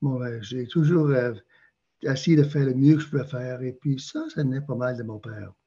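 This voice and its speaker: An older man speaking with a Canadian French accent, his voice mellow and slightly husky. He pauses often and fills gaps with hesitations as he talks through his answers. The recording sounds a little muffled. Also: mid-range voice. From Quebec, Canada. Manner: hesitant, many fillers and restarts. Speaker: interview guest. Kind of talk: prayer reading and interview answers.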